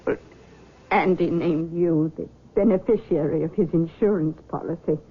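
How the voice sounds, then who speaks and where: A woman's voice: lowish voice, moderate pace, husky. An actress playing a controlling, anxious middle-aged mother, speaking in a coaxing, fretful way in old-time radio drama style. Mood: soothing, worried. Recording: dull old radio recording.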